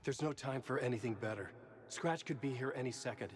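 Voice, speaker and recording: An actor playing a tormented, guilt-ridden horror writer in a video game cutscene, brooding and urgent. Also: male voice, mid-range voice, brisk, slight background noise, lightly acted. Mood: resolute.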